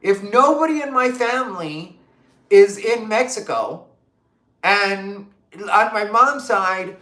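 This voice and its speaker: A woman's voice: mid-range voice, expressive, slow pace, a bit bright, echoey room. A woman from Arizona chatting casually on a livestream, reading comments and firing off emphatic rhetorical questions with lots of fillers. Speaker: livestream host. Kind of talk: casual live stream chat reading comments.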